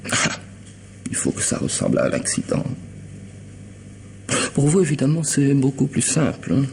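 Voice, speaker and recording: An actor playing a sardonic, half-mad hired killer in a French radio mystery, mocking and unhurried in his false courtesy. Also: medium pitch, wildly swooping pitch, vocal fry, darkish tone, a little hiss or hum. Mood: cajoling, sinister.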